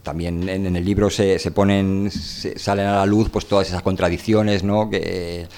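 A man hosting a casual discussion of books and music, speaking haltingly and often rephrasing himself. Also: sits low, level tone, a little mellow. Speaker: host.

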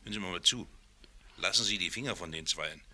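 Sharp, bright-voiced streetwise fixer. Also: male voice, deep voice, fast talker. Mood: worried, urgent. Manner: blunt, urgent, colloquial. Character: wary, streetwise fixer, blunt and loyally worried. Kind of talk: 1970s radio drama acting.

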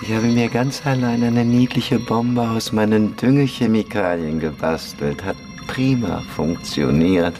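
Mann spielt bedächtigen, tiefen, gefühllosen Häftling. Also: male voice, on the low side, faint music behind, close to an everyday voice. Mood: flat, matter-of-fact. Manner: deadpan, plodding, halting. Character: simple, matter-of-fact male prisoner, remorseless village bomber. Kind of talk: German radio play acting.

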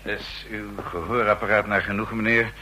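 An actor playing a formal, watchful male house servant in a vintage radio drama, speaking politely and deliberately. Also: on the low side, steady tone, sharp tone, a little hiss or hum, rough recording.

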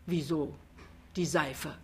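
A woman's voice: low voice, fairly even intonation, slow, breathy edge, heavily acted. Anxious young man read by a woman: hesitant, earnest, subdued job-seeker in a literary audiobook. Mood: hesitant, confused. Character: timid, anxious young husband seeking a job. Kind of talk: dramatized literary reading.

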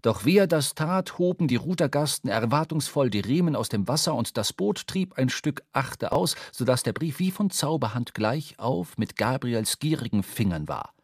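A man's voice: mid-range voice, expressive, moderate pace, few pauses, husky, no room sound. Dry, close-miked male narrator. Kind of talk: scripted literary narration for German radio drama. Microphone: close studio microphone.

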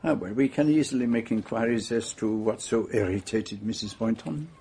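An actor playing a courteous, methodical Belgian detective with a French-speaking accent, questioning precisely in a radio drama. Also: male voice, on the low side, normal speed, mellow, slight background noise, close to an everyday voice. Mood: courteous inquiry. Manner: polite, precise, probing questioning.

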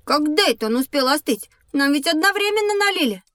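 Curious schoolboy for educational audio drama: high, boyish, lively, dry close sound. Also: female voice, brisk, no room sound. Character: curious, eager, slightly argumentative schoolboy. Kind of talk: scripted educational audio play.

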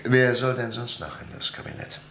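An actor playing a sceptical, proud professor turned politician in a radio play, dry, ironic and probing. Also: male voice, mid-range voice, flat delivery, moderate pace, fairly bright tone, a little hiss or hum, lightly acted. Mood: wry. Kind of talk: German radio play acting.

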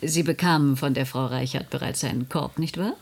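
A woman's voice: rumbling low, fairly quick, vocal fry, darkish tone, heavily acted. A woman playing a cool, sceptical doctor in a radio play, with a dry, pointed manner. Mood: wry, sceptical. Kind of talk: German radio play acting. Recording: hissy, noisy old radio recording.

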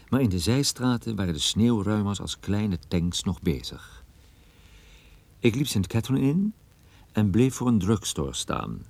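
A man acting a level-headed private detective who tells his own case in a radio play, in measured, suspenseful storytelling. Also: on the low side, creaky, mellow. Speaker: radio drama actor. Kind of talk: radio play dialogue and first-person narration.

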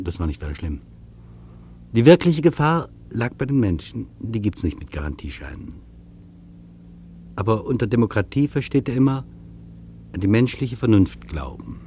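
An actor playing an earnest, reflective traveller in a scripted radio feature, speaking deliberately and rhetorically. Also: male voice, on the low side, dark tone, slight background noise, natural voice. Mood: reflective, explanatory. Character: earnest reflective male traveller recounting an imagined interview. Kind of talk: scripted German radio feature, literary narration.

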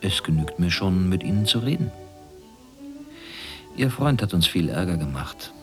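A man playing a smug, menacing former secret-police interrogator in a radio play, insinuating and deliberate. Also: sits low, expressive, music in the background. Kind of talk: German radio play acting.

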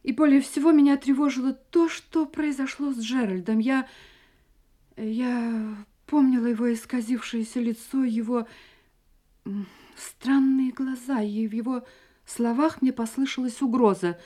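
Brief: guilt-ridden radio-play wife, higher, mellow, whispery. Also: female voice, fairly even intonation, a little slow. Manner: agitated, often whispering to herself. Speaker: heroine and narrator of a radio play.

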